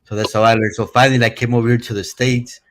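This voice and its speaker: A man's voice: mid-range voice, quick pace, slight background noise. A man from Mexico whose first language is Spanish, calling into a live stream and talking casually and bluntly. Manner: relaxed, rambling, blunt with profanity. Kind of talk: casual opinionated chat.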